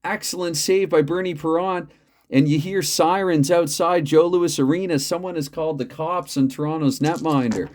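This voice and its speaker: A man hosting a tabletop hockey replay at home, mixing mock play-by-play with chatty, thinking-aloud dice math in a loud voice he keeps reined in. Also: a little high, normal speed. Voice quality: loud voice, holding back. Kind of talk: mock play-by-play commentary between dice rolls.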